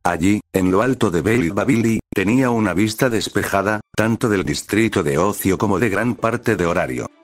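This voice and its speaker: A man reading a fanfiction story aloud as scripted narration, measured and delivered in short phrases. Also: on the low side, no echo. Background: soft background music.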